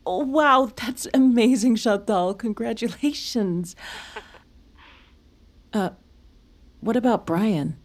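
An actress playing a fragile, haunted, worn-out mother in an audio drama, halting and emotional. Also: on the high side. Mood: moved, glad.

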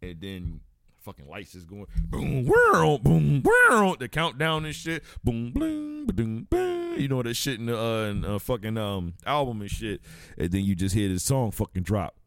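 A man's voice: mid-range voice, huge pitch swings, measured pace, a bit bright, no echo. A man, co-hosting a casual podcast, telling stories animatedly and acting out crowd voices. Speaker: podcast co-host. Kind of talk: casual chat, storytelling.

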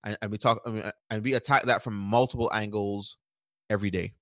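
A startup founder from Barbados in his thirties, answering interview questions in a relaxed way, repeating words and using lots of fillers. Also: fairly low pitch, brisk, dry recording, clean studio sound. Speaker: startup founder and podcast guest.

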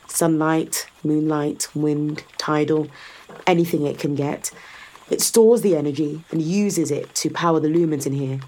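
A confident, sardonic woman in a science fiction audio drama. Her voice sits a little low and has a slightly husky edge. She explains things with calm assurance and a dry, teasing bite. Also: low-quality recording. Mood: assured, explanatory. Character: confident, sardonic woman guiding a skeptical visitor.

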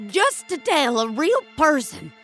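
A woman's voice: sits high, sing-song, unhurried, a bit bright, soft background music, pitched above the performer's own voice, heavily acted. A woman playing an angry, nostalgic elderly woman in an audio drama, speaking emphatically and wistfully with plenty of swearing. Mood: defiant, angry. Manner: emphatic, wistful, foul-mouthed. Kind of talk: dramatic audio fiction monologue.

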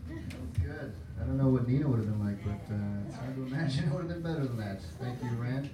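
A man's voice: mid-range voice, fast, music in the background. Man hosting an open mic, chatty. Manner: loose, chatty, laughs between lines. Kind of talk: casual announcements. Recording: roomy, distant, noisy venue.

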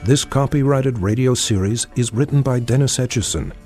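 A man's voice: medium pitch, moderate pace, a little mellow, faint music behind. A man giving the closing credits as announcer in a formal way. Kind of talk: scripted announcing.

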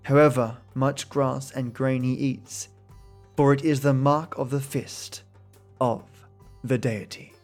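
A man's voice: medium pitch, steady tone, takes it slowly, a little mellow, soft background music. A man steadily reading folk tales aloud as a podcast host. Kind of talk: storytelling narration.

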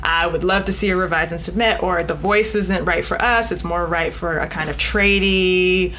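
A woman's voice: lowish voice, steady tone, moderate pace, fairly bright tone, slight background noise. A woman speaking frankly and emphatically as an editor being interviewed, joking and acting out examples as she explains. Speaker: magazine editor, podcast guest. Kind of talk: animated panel interview talk.

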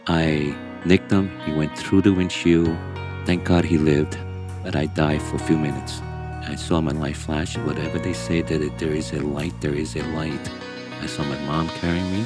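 A middle-aged man, born in Hong Kong and raised in Brazil, speaking earnestly as a guest in an interview, with emphatic, halting restarts. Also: rumbling low, fairly even intonation, over music. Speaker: guest angler. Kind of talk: candid interview storytelling.

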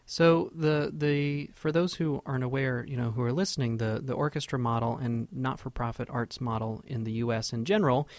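A man at a middle pitch hosts a radio interview, asking friendly, conversational questions. He explains background for listeners before turning a question to his guest. The voice sits close to the mic in a dead, dry studio. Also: fairly even intonation, moderate pace, hardly any pauses, no room sound.

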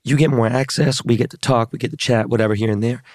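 A hoarse man hosting a podcast in casual chat, talking in slangy, profane, hyped-up street style. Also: mid-range voice, steady tone, fast talker, no echo, studio quality. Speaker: podcast host.

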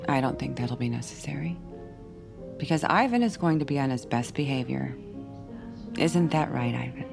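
An actress playing a calm, controlling female psychiatrist, measured and clinical with a cool menace, in an audio drama. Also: extremely low pitch, gravelly, over music, full character voice. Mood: polite control.

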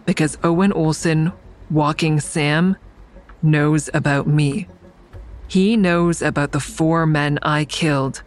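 Sardonic female lead, low voice, dry, guarded, audio drama, music underneath. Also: fairly even intonation, slow. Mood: tense narration. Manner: dry, defiant, wry. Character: guarded, sardonic woman, crisis counselor with secret kills. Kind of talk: audio drama dialogue and first-person narration.

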